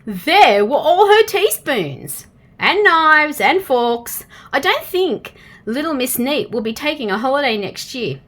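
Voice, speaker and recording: A woman with an Australian accent reading a children's storybook aloud in an expressive way, addressing young listeners directly. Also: sits high, unhurried. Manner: expressive, asks the listener questions.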